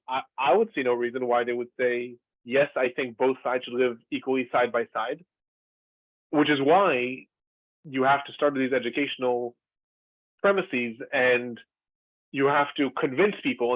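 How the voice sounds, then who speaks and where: A man's voice: medium pitch, dry recording. A man from Israel, a former lecturer, discussing at length in a thoughtful way with many fillers and restarts over a video call. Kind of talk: earnest discussion. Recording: tinny, dry call audio.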